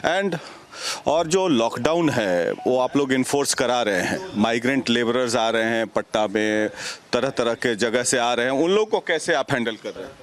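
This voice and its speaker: A man's voice: mid-range voice, lively intonation, moderate pace. A man with an Indian accent working as a news reporter, putting questions in a field interview.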